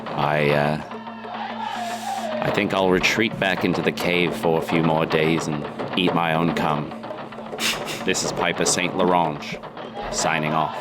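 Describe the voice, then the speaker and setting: A man's voice: extremely low pitch, fairly even intonation. A man playing a weary, melodramatic male explorer recording a solemn audio diary in mock old-time radio style. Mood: resigned. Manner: slow, solemn, drawn-out delivery. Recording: loud music under the voice. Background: loud music.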